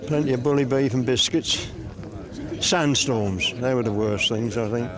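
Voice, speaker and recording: A man's voice: medium pitch, steady tone, a little slow. An older man, a war veteran, casually recalling his service in a matter-of-fact way during an interview. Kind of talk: casual recollection. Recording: outdoor crowd chatter behind.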